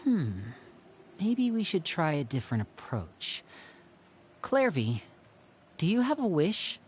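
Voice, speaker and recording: A man's voice: sits high, huge pitch swings, slow pace, husky, full character voice. A man voice acting a theatrical young magician and protective elder brother in a video game, speaking in a coaxing way. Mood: probing, thoughtful, then gentle, coaxing.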